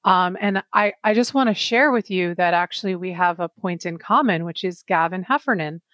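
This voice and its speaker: A woman's voice: fairly low pitch, normal speed, a bit bright, highs cut off. A woman co-hosting a podcast, speaking conversationally as she interviews a guest. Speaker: podcast co-host.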